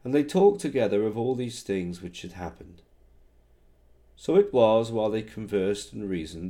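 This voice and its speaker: A man preaching with a somewhat low, mellow voice that still sounds hoarse from a recent cold. He talks in a halting way, full of "uh"s, and slows down when he prays or reads scripture aloud. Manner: halting, many fillers, reads scripture slowly. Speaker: pastor. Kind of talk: sermon with scripture reading.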